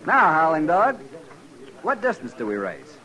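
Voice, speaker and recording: An actor playing a swaggering, mocking young army lieutenant in a 1950s radio western. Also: male voice, fairly high pitch, wildly swooping pitch, measured pace, sharp tone, full character voice, low-quality recording. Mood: confident. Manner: mocking, needling. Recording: vintage 1950s radio recording.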